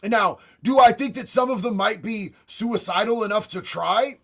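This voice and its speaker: A man hosting a casual livestream and answering viewer chat in a blunt, profane, slangy way. He has a raspy voice and calls his viewers bro and homie. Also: high voice, normal speed, fairly bright tone. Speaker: livestream host reading chat.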